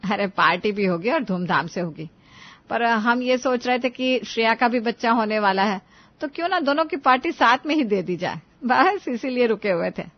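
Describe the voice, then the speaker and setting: Bossy middle-aged grandmother played by a woman, doting yet quick to scold, highish voice, dull lo-fi story audio. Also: animated. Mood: proud, commanding. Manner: scolding, exclamatory, commanding. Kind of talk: Hindi family moral story dramatization.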